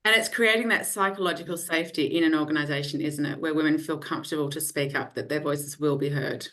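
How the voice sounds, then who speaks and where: A woman moderating a webinar panel over a video call, briskly summarising and leading into questions. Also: sits low, fairly even intonation, fairly bright tone.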